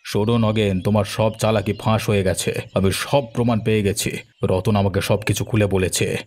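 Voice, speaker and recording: A man playing a stern, commanding village gentleman who passes judgement in a cartoon. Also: on the low side, little pitch movement, moderate pace, close to an everyday voice. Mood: indignant, stern. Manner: stern, admonishing. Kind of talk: cartoon voice acting in Bengali.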